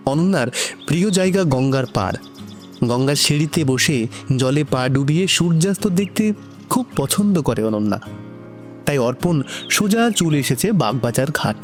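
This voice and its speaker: Higher-pitched male story narrator. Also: lively intonation, normal speed, faint music behind. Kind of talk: scripted emotional romantic narration.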